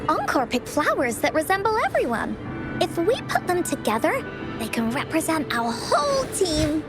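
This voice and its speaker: A woman voicing a cheerful, earnest little girl in a video game, sweet and childlike, with excitable, gushing delivery. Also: extremely high pitch, normal speed, heavily acted. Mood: delighted, playful. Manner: excitable, gushing, with gasps and giggles. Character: cheerful, earnest little girl who adores her friends. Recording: clear music behind the voice. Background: game background music.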